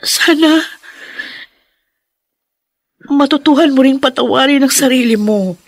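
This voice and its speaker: An actress in a Filipino radio drama playing a devoted, encouraging daughter-in-law, speaking in an earnest, heartfelt way. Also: female voice, high voice, a little slow, no room sound, studio quality.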